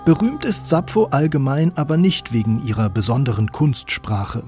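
A man presenting a radio feature in scripted narration, with a gravelly, husky voice. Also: medium pitch, moderate pace, warm tone, soft background music.

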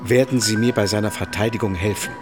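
An actor playing a grave, loyal defence lawyer, sober and earnest, in a radio drama. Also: male voice, on the low side, fairly even intonation, quick pace, over music, lightly acted. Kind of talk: radio play acting.

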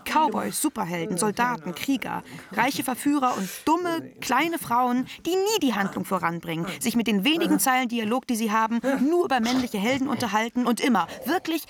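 A woman's voice: a little high, animated, fairly quick, few pauses, crowd noise. A woman playing a defiant, sardonic young feminist activist delivering a polemical monologue in a radio play. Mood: scornful, impassioned.

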